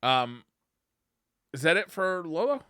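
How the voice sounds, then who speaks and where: Bright-voiced man with lively intonation. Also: a little high, slow pace, smooth, dry recording, studio quality. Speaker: podcast co-host. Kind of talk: casual retro gaming chat.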